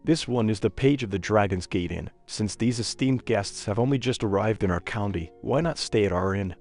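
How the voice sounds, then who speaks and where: A man's voice: lowish voice, normal speed, darkish tone, close to an everyday voice. A man playing an eager, obsequious inn page in a dubbed comic drama. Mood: ingratiating. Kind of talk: dubbed comic-recap character dialogue. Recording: voice over background music.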